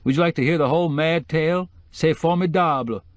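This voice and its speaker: A man's voice: a little high, brisk, no echo, pitched above the performer's own voice, natural voice. A man voicing a witty, flamboyant decorator in an audiobook, sprinkling French phrases into hip slang. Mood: gleeful, relishing. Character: flamboyant, witty male interior decorator, sly and gushing. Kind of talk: acted audiobook dialogue.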